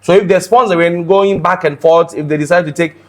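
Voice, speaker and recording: A man with a Ghanaian accent hosting a casual discussion, explaining things deliberately, step by step. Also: fairly high pitch, fairly even intonation.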